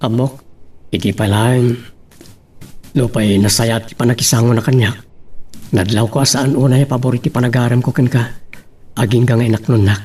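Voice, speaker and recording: An earnest young man in love, played by a male actor, with an Ilocano accent and a husky, middle-pitched voice. He speaks as in a radio drama, a little far from the mic. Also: fairly even intonation, moderate pace, pauses often, darkish tone, natural voice. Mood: doubtful, hurt. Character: earnest young suitor, plain-spoken working man.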